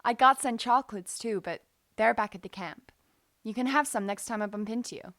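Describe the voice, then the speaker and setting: A woman's voice: fairly high pitch, a little fast, fairly bright tone. A woman playing a breezy, joking young American army nurse in an audio drama, with an American accent and playful, slangy talk.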